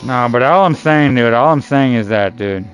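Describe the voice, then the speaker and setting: A man's voice: mid-range voice, moderate pace, low-quality recording. A man in his early thirties in casual, teasing chat with gaming friends, loose and slangy, calling people "dude" and "bro" and swearing now and then. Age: 30s. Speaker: gaming streamer.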